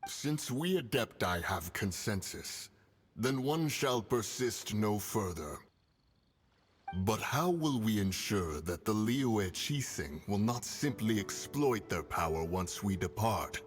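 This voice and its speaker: An actor playing a grave, suspicious ancient guardian spirit in a video game. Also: male voice, on the low side, big pitch swings, takes it slowly. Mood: wary. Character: stern, wary ancient male guardian spirit.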